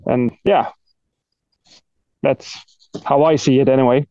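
A man's voice: medium pitch, fairly even intonation, takes it slowly, mellow, no echo, highs cut off. A man from Sweden with a Swedish accent chats casually as an interview guest, in a hesitant, self-deprecating way. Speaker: guest, death metal guitarist.

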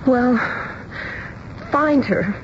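An actress playing a distraught, petulant young bride-to-be, plaintive and emotional, in a 1970s radio drama. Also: female voice, high-pitched, extremely slow. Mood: tearful exasperation. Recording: muffled, very noisy old radio broadcast.

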